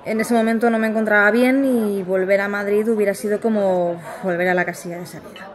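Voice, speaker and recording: A woman's voice: medium pitch, fairly even intonation, brisk, lightly acted. An actress playing a reticent former researcher and young mother with an accent from Spain, speaking hesitantly and sincerely in a naturalistic audio drama interview. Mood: guarded, uneasy. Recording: busy café chatter behind. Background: café crowd.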